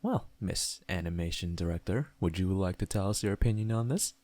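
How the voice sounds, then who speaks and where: A man playing a studio colleague in a motion comic, speaking in a measured, businesslike manner. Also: low voice, animated, warm tone, no room sound. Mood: composed, polite.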